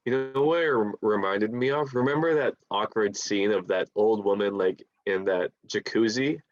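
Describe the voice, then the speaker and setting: A man's voice: lowish voice, normal speed, no echo, dull audio. A man whose first language is Tagalog, chatting casually about a film and filling his talk with "like". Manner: rambling, fills speech with "like".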